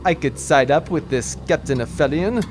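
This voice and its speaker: Man playing a wry, higher-voiced scheming space officer. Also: lively intonation, normal speed, background noise, close to an everyday voice. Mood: sly, smug. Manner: dry, sardonic, nonchalant. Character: wry, scheming male military officer and pilot. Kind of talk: sci-fi audio drama acting.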